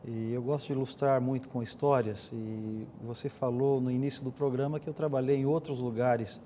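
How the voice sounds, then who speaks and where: Older-ish brazilian guy chatting on a panel, mellow voice, bit of background noise. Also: medium pitch, fairly even intonation, normal speed. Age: middle-aged or older. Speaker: guest panelist, pastor. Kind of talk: conversational storytelling in a discussion.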